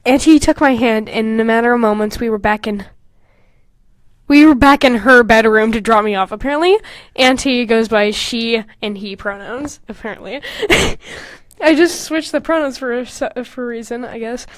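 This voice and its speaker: High-voiced young woman, sweary and animated. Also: normal speed, dry recording. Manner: animated, sweary, self-mocking asides. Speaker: podcast co-host. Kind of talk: casual podcast chat, reading old fan fiction aloud with mocking commentary.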